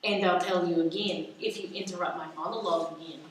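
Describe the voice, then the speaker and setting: A woman's voice: on the low side, steady tone, fast talker, lightly acted. A woman playing a gloating, self-important spy villain in a radio play, given to pompous monologues. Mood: bossy, gloating. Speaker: actor. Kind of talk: stage-style radio play acting. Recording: echoey room, distant mic, faint noise.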